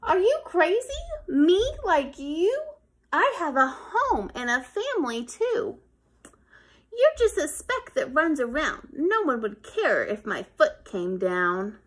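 Lady reading as a boastful storybook kid, bossy sing-song rhymes, high and swingy pitch. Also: big pitch swings, slow pace, exaggerated voice. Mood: dismissive. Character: big bossy boy taunting a tiny ant.

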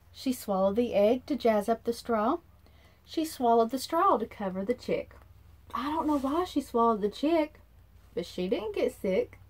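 A woman in her thirties reading a rhyming storybook aloud to children in an expressive, sing-song way, recorded in a room at about arm's length from the device. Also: a little high. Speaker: children's read-aloud host. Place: small indoor room.

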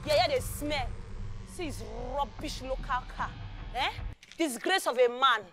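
An actress playing a demanding, confrontational wife in a comedy skit. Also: female voice, high-pitched, measured pace, a bit bright, faint music behind. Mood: taunting loud. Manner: sassy and confrontational. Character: demanding, loud-mouthed young wife.

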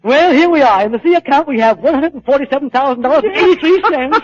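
A man's voice: very high voice, lively intonation, fast talker. An actor playing a chirpy, obliging, fussy small-town bank man in an old radio comedy, chuckling primly. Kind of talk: 1940s radio comedy acting. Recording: muffled 1940s radio broadcast.

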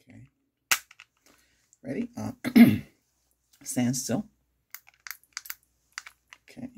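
A middle-aged man doing a casual ASMR doctor role-play, asking exam questions in a hesitant, unhurried way. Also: mid-range voice, sing-song, vocal fry, warm tone, husky. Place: small curtained room indoors.

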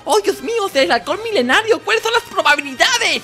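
A woman with a Latin American Spanish accent voicing a chattering, excitable nerdy droid in a cartoon parody dub. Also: very high voice, fast talker, heavily acted. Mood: excited. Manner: rambling, geeky, excitable. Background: background music.

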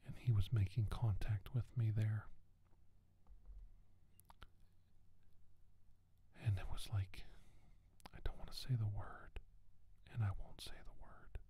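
A man playing a shaken doctor in a whispered ASMR roleplay, recounting an alien abduction in a hushed, halting way. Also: lowish voice, steady tone, natural voice. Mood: violated, anguished.

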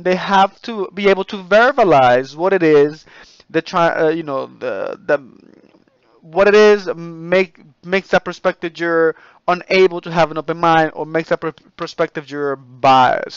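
A man giving an informal voice-over lecture, explaining in a halting way with repeats and rephrasing. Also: on the high side, smooth, no room sound, lo-fi sound.

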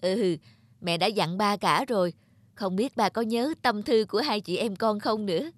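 A woman voicing a gentle, patient mother of young girls in a cartoon. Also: mid-range voice, moderate pace, no room sound, soft background music, natural voice. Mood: gentle explaining. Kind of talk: cartoon voice acting.

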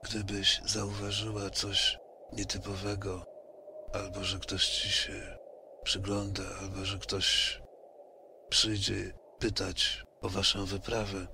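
Male player, measured and protective, flat gravelly delivery, far off with noise. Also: low-pitched. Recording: distant mic with noise.

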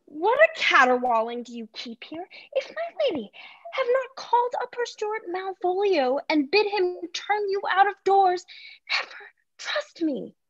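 A woman's voice: very high voice, very animated, measured pace, muffled recording, heavily acted. A woman in her twenties playing an exasperated, scolding maidservant in a play reading over a video call. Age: 20s.

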